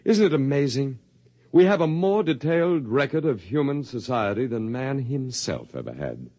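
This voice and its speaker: An actor in a 1950s radio drama playing a smug, condescending bearded scientist with formal, smooth diction. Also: male voice, medium pitch, sing-song, normal speed, mellow, no room sound, lo-fi sound. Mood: fervent. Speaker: head of the archive project.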